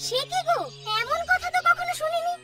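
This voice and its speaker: A performer voicing a polite, caring young village housewife in a Bengali family cartoon, courteous and expressive. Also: very high-pitched, brisk, recorded from a distance, loud music behind the voice. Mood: surprised, worried.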